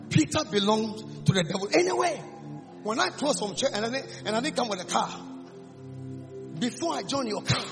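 A man with a Ghanaian accent preaching a sermon, calling out lines for the congregation to repeat, dropping low and then rising to shouts. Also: creaky. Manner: fiery call-and-response preaching, swings from hushed to shouting.

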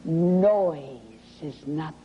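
A woman preaching a revivalist sermon in a dramatic, emphatic manner, drawing out words and dropping into breathy whispers, in a sanctuary. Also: sits low, drawn-out words. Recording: dull, noisy lo-fi archival sermon recording.

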